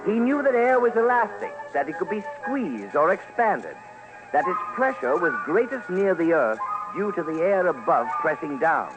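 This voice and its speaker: A man's voice: high-pitched, big pitch swings, moderate pace, low-quality recording. A man narrating a scripted radio documentary as commentator, formal, theatrical and emphatic. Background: music.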